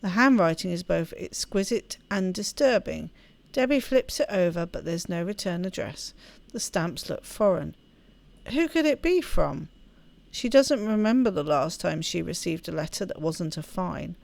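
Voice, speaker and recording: A woman's voice: fairly low pitch, lively intonation, normal speed, gravelly, darkish tone. A woman hosting a gaming video, chatting casually and reading story text aloud with expression. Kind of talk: let's play chat and expressive read-aloud narration.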